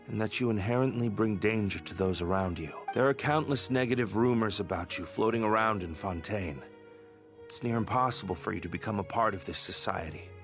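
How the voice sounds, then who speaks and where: An actor playing a stern, jaded but protective patrol captain in a video game, firm and dry in manner. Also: male voice, fairly low pitch, close to an everyday voice. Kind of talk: video game character acting. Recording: music behind the voice. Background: game music.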